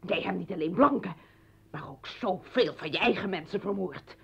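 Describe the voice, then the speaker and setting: A woman's voice: fairly low pitch, expressive, normal speed, creaky, fairly bright tone, husky, exaggerated voice. An actress playing an anguished, accusing mother confronting her former husband in a radio play, bitter and pleading. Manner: bitter, pleading, breaking into whispers. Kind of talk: Dutch radio play acting. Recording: dull old radio recording.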